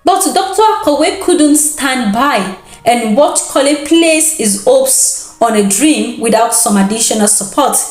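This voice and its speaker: A woman storyteller delivers a scripted tale with expressive care. Her voice sits fairly high and she takes her time. The room adds noticeable echo around her. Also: a little slow. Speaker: storyteller, host. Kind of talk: scripted dramatic folktale narration.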